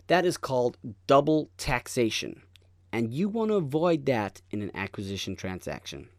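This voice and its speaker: A man reading a business article aloud as scripted narration, in a measured, deliberate way with clear phrasing. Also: medium pitch, darkish tone, no echo, clean studio sound.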